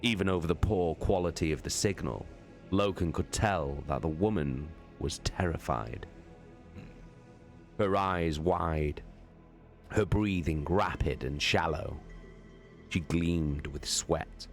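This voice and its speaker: A man giving an expressive, dramatic reading of a science fiction novel as a live streamer. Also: a bass voice, takes it slowly, faint music behind. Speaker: streamer and reader. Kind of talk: dramatic audiobook narration.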